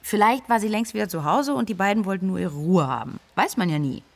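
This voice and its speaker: A restless young woman in a radio drama, with plenty of creak in a medium-pitched voice. She is blunt and matter-of-fact, as if telling her story in an interview. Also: lively intonation, fast. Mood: restless, dry. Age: young adult. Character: restless, blunt young female medical student. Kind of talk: naturalistic radio play acting, interview-like narration.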